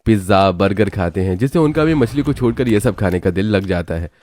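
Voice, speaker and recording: Low-voiced man narrating a cartoon story. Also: lively intonation, brisk, darkish tone.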